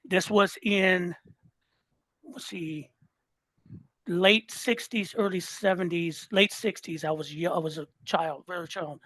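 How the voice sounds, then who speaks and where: A man's voice: sits high, steady tone, slow pace, fairly bright tone. A man in his sixties from Southern California, a listener guest talking politics in a fired-up way, often repeating and restarting words. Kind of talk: casual political chat.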